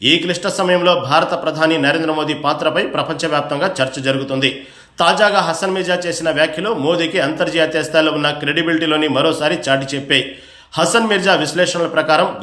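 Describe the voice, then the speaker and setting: A man's voice: fairly high pitch, fairly bright tone, roomy sound. A man reading scripted news narration in a formal, emphatic manner.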